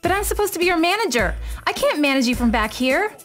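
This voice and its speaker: A woman's voice: very high voice, sing-song, quick pace, a bit bright, faint music behind, heavily acted. A woman playing a defiant wrestling manager in a video game cutscene, protesting indignantly.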